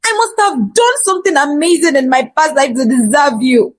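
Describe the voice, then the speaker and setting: A woman's voice: high voice, moderate pace, lightly acted. A woman storyteller voicing an adoring, starry-eyed young village bride in a folktale. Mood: tearful joy.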